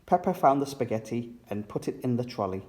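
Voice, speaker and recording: A male story reader for young children. His medium-pitched voice rises and falls in a lively way as he narrates expressively. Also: brisk. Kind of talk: expressive read-aloud storytelling.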